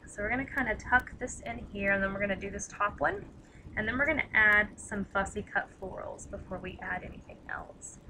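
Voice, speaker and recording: Raspy, bright-toned chatty woman crafter. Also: mid-range voice, a little hiss or hum, poor audio. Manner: chatty, rambling, self-deprecating. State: tired, slightly hoarse. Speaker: craft demonstrator. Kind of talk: casual livestream craft tutorial.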